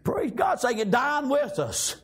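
A man preaching a fiery revival sermon in a church, shouting and dotting his lines with "praise God" and "amen.". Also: very high voice, big pitch swings, unhurried, slightly rough.

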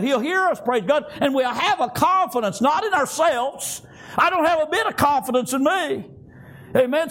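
Older male Southern US preacher, extremely high pitch, folksy and fervent sermon delivery. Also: a bit bright. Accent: Southern American. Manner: folksy, punctuated with frequent amens and praise God.

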